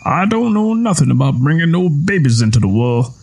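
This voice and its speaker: Man doing a choked, gruff old farmer. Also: a little high, big pitch swings, fairly quick, a little mellow, pitched above the performer's own voice, exaggerated voice. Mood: gruff, matter-of-fact. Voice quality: strained, choked. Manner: blunt, folksy. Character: gruff old backwoods Southern father-in-law, hard-drinking tenant farmer.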